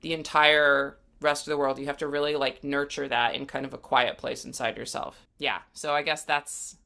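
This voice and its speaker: A woman's voice: extremely low pitch, level tone, normal speed, vocal fry, sharp tone. A woman talking casually as an interview guest, a musician speaking in an earnest, reflective way.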